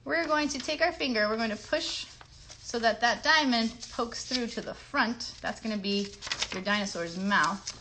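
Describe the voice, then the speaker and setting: A woman's voice: on the high side, normal speed, background noise. A woman hosting a children's craft show, giving simple step-by-step directions in an encouraging way and putting questions to the young viewers.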